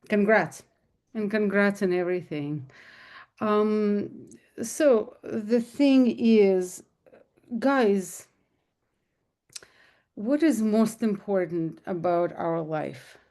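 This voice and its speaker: A woman's voice: mid-range voice, dark tone. A woman with a Russian accent, speaking casually to an audience on a video call, earnest and emphatic, often repeating herself. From former Soviet Union. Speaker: guest speaker.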